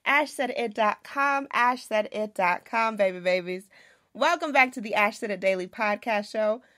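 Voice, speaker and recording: A woman's voice: mid-range voice, normal speed, fairly bright tone. A woman hosting an upbeat interview podcast, addressing listeners and her guest. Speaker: podcast host.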